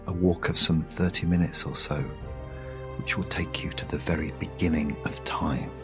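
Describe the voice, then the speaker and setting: A British man narrating a guided sleep meditation story in a hushed, soothing, measured way with long pauses. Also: a bass voice, steady tone. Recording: music behind the voice. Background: music.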